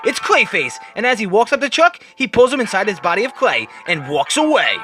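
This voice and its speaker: A man's voice: sits high, very animated, fairly quick, sharp tone, faint music behind. A man narrating a comic-book story as a scripted dramatic reading.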